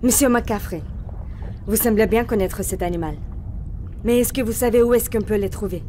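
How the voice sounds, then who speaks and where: An actress playing a blunt, commanding military sergeant in an audio drama, terse and hard-nosed. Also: female voice, medium pitch, moderate pace, dark tone, a little hiss or hum, lightly acted. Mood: stern. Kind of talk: dramatic audio fiction acting.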